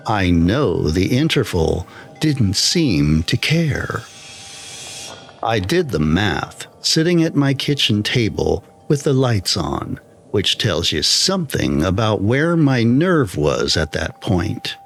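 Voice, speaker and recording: A man narrating a scripted first-person horror story in a measured, deliberate, solemn manner. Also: fairly low pitch, sing-song, vocal fry, a little hiss or hum. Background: background music.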